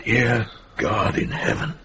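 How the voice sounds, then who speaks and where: An actor playing a solemn, troubled medieval abbot in a radio drama. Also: male voice, lowish voice, takes it slowly, sharp tone, slight background noise. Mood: appalled.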